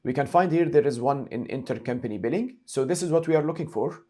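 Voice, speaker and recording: A man narrating a screen-share software tutorial as an instructor, explaining each step methodically and repeating key points. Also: medium pitch, lively intonation, fast talker.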